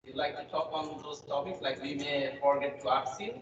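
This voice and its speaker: A man's voice: a little high, level tone, normal speed. A man asking a guest hesitant, unscripted questions at a student event. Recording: very echoey, distant, noisy, muffled audio.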